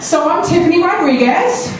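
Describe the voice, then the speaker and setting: A woman's voice: extremely high pitch, expressive, moderate pace. A woman performing a stand-up comedy set with a brash, punchy delivery and crude punchlines. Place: small comedy club stage. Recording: distant echoey club recording with background noise.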